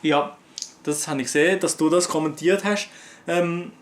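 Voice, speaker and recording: A young Swiss man answers viewer comments in a relaxed, rambling way. His voice sits a little high for a man. His talk is loose and slangy, with plenty of fillers and restarts. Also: normal speed. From Switzerland. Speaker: YouTuber. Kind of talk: casual chat reading viewer comments.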